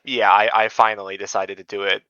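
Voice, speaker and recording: A young man from Indonesia chatting casually as an interview guest, hesitant and modest, with a clear, smooth voice. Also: fairly low pitch, flat delivery, brisk, bright, forceful tone, no echo, muffled recording. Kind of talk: casual chat.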